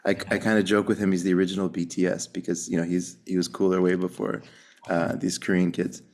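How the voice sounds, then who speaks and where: Low-voiced podcast host. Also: male voice, level tone, a little mellow. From United States. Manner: curious, eager, quick asides. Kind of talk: casual interview chat.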